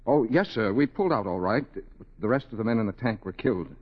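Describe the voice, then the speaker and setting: An actor playing a dazed, feverish British army corporal, exhausted and rambling with grim irony, in 1940s radio style. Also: male voice, fairly low pitch, lively intonation, fast, slightly rough. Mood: dazed, bitter. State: delirious, exhausted. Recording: muffled vintage radio broadcast, reverberant hall.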